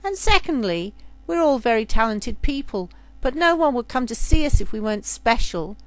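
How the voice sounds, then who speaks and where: A woman's voice: a little high, sing-song, normal speed, no room sound, poor audio. A woman voicing a tall, thin, brusque and matter-of-fact man from a spaceship circus, in a story reading. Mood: proud, firm.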